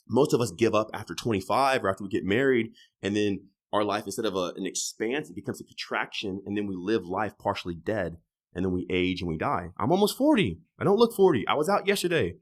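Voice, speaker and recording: A man in his thirties, a podcast guest in casual conversation, talking loosely and with swearing, jumping from loud emphatic bursts to quiet trailing asides. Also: fairly low pitch, lively intonation, brisk. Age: 30s.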